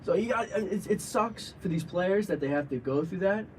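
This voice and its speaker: A man co-hosting a casual sports debate, talking excitedly and restarting his sentences as he hypes players. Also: high-pitched, a little fast, distant mic, slight background noise. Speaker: co-host.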